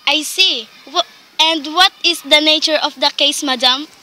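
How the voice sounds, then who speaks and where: A woman's voice: high-pitched, a little slow, pushed and bright, a little hiss or hum, heavily acted. A woman playing a polite, formal hospital switchboard receptionist in a radio play. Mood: polite, businesslike. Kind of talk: dramatic radio play acting.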